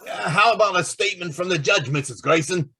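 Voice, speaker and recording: A man's voice: high voice, fairly quick, vocal fry, bright, forceful tone, breathy edge, exaggerated voice. A man playing an eager, breezy newspaper reporter in an old-time radio drama, pushy yet hesitant. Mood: eager, pressing.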